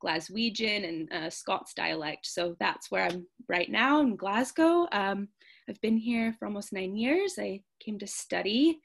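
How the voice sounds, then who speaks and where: A woman's voice: medium pitch, expressive, a little slow, vocal fry. A woman in her thirties from California with an American accent, introducing herself chattily as a guest poet over a video call, with hesitant fillers.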